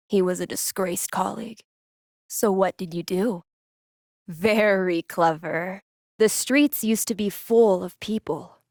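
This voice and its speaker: A woman's voice: mid-range voice, no room sound, clean studio sound, natural voice. A woman voicing a haughty, mysterious fantasy magic-item merchant in a video game role-play, formal and pompous.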